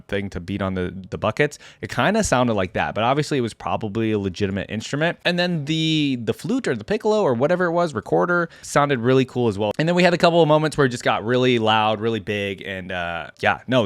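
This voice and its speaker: A man giving casual, off-the-cuff reaction commentary as a video host, rambling as he thinks out loud. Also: mid-range voice, dry recording.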